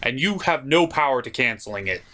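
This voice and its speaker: A man's voice: mid-range voice, lively intonation, brisk, pushed and bright, low-quality recording. A man hosting a comedic cartoon review, narrating from a script in a theatrical, playful way. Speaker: host and reviewer.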